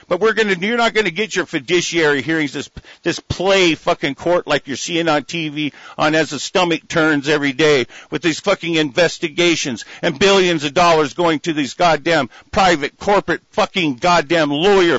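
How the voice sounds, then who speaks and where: A man's voice: a little high, fairly bright tone, dry recording. An American man delivering a furious, profane, heated monologue rant, on a dull lo-fi recording. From United States. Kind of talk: angry ranting monologue.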